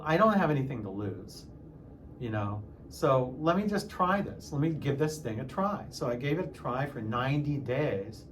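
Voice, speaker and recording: A man of sixty talking casually in a personal vlog, halting at times and repeating phrases for emphasis. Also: mid-range voice, a bit bright, a little hiss or hum. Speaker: music teacher and channel host.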